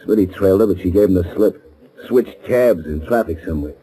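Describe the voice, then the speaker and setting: A man's voice: low voice, a little fast, dark tone, lightly acted, poor audio. An American man playing a hard-boiled, commanding gangster with curt slangy delivery in a 1930s radio drama. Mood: domineering. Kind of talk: 1930s radio crime acting.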